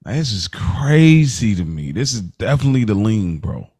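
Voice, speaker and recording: A man's voice: on the low side, very animated, normal speed, dark tone, breathy edge, no room sound, clean studio sound. An American man hosting a casual podcast about a TV series, talking in a slangy, conversational way and repeating phrases to make his point. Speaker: podcast host.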